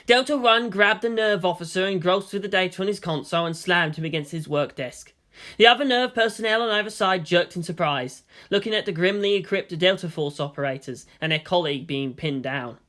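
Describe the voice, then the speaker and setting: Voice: mid-range voice, fairly quick, a bit bright. A narrator reading a story aloud in a dramatic, scripted audiobook style.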